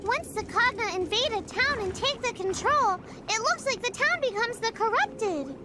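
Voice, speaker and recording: A woman voicing a small, childlike, excitable creature in an animated video game, squeaky, with quirky misplaced words. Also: normal speed, very noisy. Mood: matter-of-fact. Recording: loud music behind the voice.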